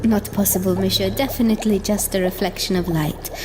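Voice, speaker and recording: A woman's voice: mid-range voice, fairly even intonation, fairly quick, close to an everyday voice. An actress playing a deferential, rational female physician in a period audio drama, polite and placating in manner. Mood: calm reassurance. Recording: music under the voice.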